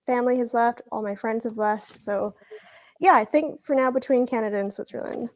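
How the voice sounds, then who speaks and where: A woman's voice: a little high, a little fast, darkish tone. A young woman speaking as a guest in a casual podcast conversation, thoughtful and laughing often. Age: young adult. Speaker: guest, former international school student.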